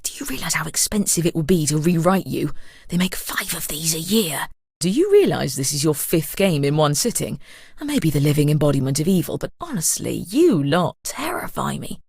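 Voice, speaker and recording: A woman's voice: sits low, very animated, fairly quick, gravelly, a little mellow, husky, no room sound, clean studio sound, full character voice. A woman voicing a sly, sinister disembodied narrator in a video game, arch and conspiratorial in manner. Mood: coolly menacing. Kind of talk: video game character voice acting.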